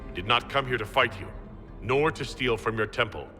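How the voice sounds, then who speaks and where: A man's voice: mid-range voice, brisk, pushed and bright, close to an everyday voice. Male fantasy leader for a game, actor: direct, resolute, diplomatic, reverberant space, music under. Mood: measured, questioning. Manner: firm, direct, earnest. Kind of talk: dramatic video game acting.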